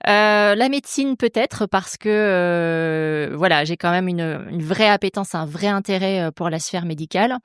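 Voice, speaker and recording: A woman's voice: fairly low pitch, a little slow, hardly any pauses, clean tone, no echo, clean studio sound. A woman in her forties from Normandy, a public prosecutor speaking as a podcast guest, thoughtful and hesitant, with many fillers and restarts. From France, Normandy. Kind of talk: casual interview talk.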